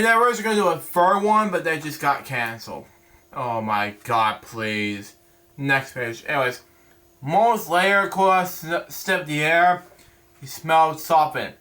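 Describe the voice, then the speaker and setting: A man hosting a storybook read-aloud, halting and stumbling over words, drifting into rambling casual asides. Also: medium pitch, sharp tone.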